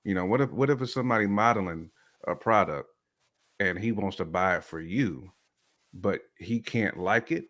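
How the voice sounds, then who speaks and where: A man's voice: lowish voice, moderate pace, fairly bright tone. A man giving casual reaction commentary as a co-host.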